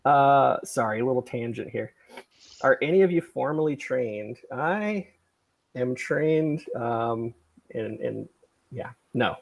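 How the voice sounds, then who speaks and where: Middle-aged male livestream host, husky with vocal fry, digressive and self-effacing. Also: mid-range voice, animated, takes it slowly. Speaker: podcast creator and livestream host. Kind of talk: casual livestream chat.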